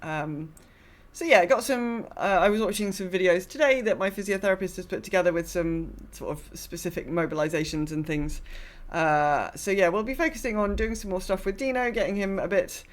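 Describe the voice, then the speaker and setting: A woman in her mid-forties co-hosting a podcast, chatting casually in a rambling, self-deprecating and expressive way. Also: medium pitch, a little fast. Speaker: podcast co-host. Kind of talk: casual chat.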